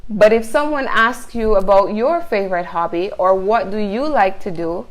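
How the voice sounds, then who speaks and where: A woman from Jamaica, teaching an online English lesson and modeling each phrase slowly and carefully, checking in with "Good?". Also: mid-range voice, rough recording.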